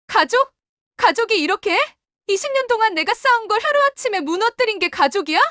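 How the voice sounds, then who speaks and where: A woman's voice: very high voice, expressive, a little fast, a bit bright, no room sound, studio quality. A woman playing a furious middle-aged market seller and sister-in-law, scolding indignantly in a melodramatic audio drama. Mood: angry, accusing.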